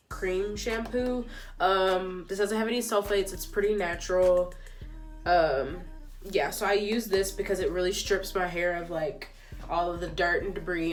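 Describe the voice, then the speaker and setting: A young woman with a stuffy, congested nose, chatting casually through a hair tutorial with lots of ums and likes. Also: mid-range voice. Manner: chatty, filler-heavy, relaxed. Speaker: beauty vlogger.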